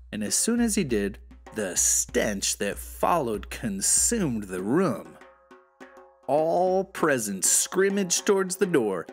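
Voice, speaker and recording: A man telling a folksy scripted story as a storyteller, with drawn-out, animated delivery. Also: medium pitch.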